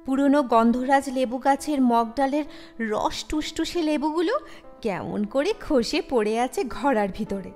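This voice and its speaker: Woman, audio story narrator, higher-pitched voice, scripted reading, reverberant sound, background music. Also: expressive, unhurried, a little mellow. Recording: long reverb over the voice.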